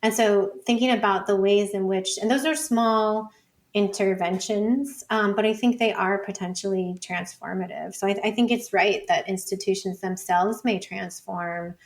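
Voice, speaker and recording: Informal, mid-pitched woman academic in conversation. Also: steady tone, normal speed. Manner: informal, frequent "like" and "you know". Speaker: academic researcher, podcast guest.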